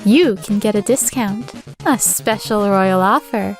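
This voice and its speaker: A woman's voice: mid-range voice, unhurried, faint music behind, close to an everyday voice. A woman voicing a greedy, sly spider pastry seller, sugary and mocking, in a fan comic dub.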